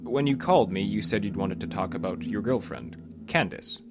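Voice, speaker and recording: An actor playing a calm, probing male psychologist in an audio drama, with a measured, patient, clinical way of questioning. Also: lowish voice, natural voice. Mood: matter-of-fact. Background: music.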